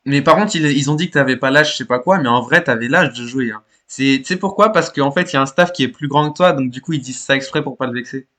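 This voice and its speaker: A man's voice: mid-range voice, fast talker, hardly any pauses, bright, forceful tone. A man from chatting casually in slangy, sweary as the host of a gaming channel. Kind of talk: casual voice-chat banter about gaming.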